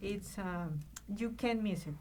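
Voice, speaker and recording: Halting woman guest on radio. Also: medium pitch, dark tone, slight background noise. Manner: halting, many "uh" pauses and restarts. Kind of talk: casual radio interview.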